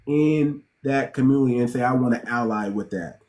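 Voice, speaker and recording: A man speaking, blunt and emphatic, doubling back on words before he drives his point home. His voice has a warm, mellow tone at a middle pitch. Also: normal speed. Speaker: host. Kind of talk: casual podcast discussion.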